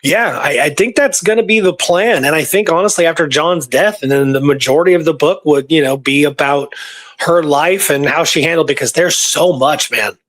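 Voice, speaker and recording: A man's voice: fairly high pitch, normal speed, fairly bright tone. A man talking casually through historical research, rambling and informal, repeating points for emphasis. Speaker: history researcher and show guest or co-host.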